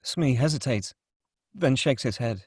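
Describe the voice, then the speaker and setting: A man's voice: medium pitch, lively intonation, measured pace, slightly rough, no echo, studio quality. A man reading screenplay stage directions as narrator at a table read.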